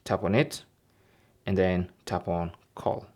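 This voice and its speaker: A man's voice: low voice, slow, darkish tone. A man presenting an instructional tech tip, explaining step by step and often checking in with the listener. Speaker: tech tutorial host.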